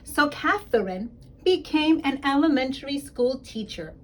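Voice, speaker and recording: A woman reading a children's story aloud in an expressive, emphatic way, speaking directly to young listeners as a school principal would. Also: sits high, a little slow.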